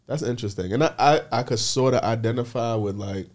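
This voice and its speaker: A man's voice: mid-range voice, fairly quick, a little mellow. A man hosting a casual podcast, asking questions with frequent restarts and slang. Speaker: podcast host.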